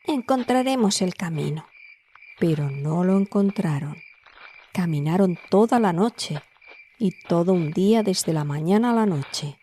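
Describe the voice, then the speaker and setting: A woman narrating a scripted fairy tale as a storyteller, in a measured, dramatic way. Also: lowish voice, dark tone, slight background noise.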